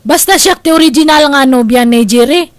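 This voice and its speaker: A woman's voice: sits high, normal speed, no room sound, natural voice. A woman with a Filipino accent playing a stubborn, aggrieved young jilted sweetheart in a comedy drama, plaintive and insistent.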